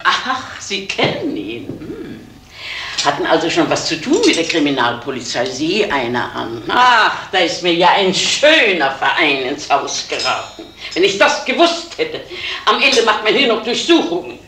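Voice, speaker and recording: Sharp-tongued, indignant older woman, roomy sound. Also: fairly low pitch, sing-song, measured pace, full character voice. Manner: haughty, sharp-tongued, sarcastic. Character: energetic, indignant, scolding housekeeper, older woman. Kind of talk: 1970s German radio crime drama acting. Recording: roomy vintage radio recording, faint hiss.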